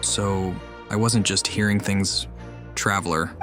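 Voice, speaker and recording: A man's voice: deep voice, fairly even intonation, slow pace. A man playing a game and commenting wryly, talking back at the characters. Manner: suspicious, wry, talks to the characters. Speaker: gamer. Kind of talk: let's play commentary. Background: game music.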